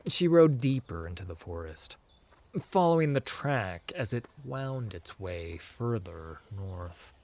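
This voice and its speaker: A man narrating a scripted fantasy audiobook in a measured, dramatic storytelling manner. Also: fairly low pitch, animated, darkish tone.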